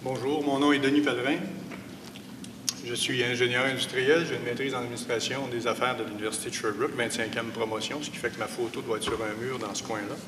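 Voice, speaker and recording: A man's voice: mid-range voice, steady tone, quick pace. Middle-aged man in a roomy, noisy hall. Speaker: municipal candidate. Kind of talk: debate opening statement. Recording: roomy hall with clear background noise.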